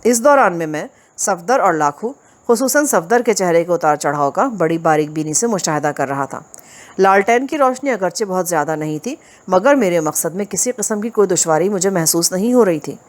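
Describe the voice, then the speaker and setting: A woman narrating a first-person crime story for an audio novel, in a steady, measured reading voice. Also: fairly low pitch. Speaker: audiobook reader.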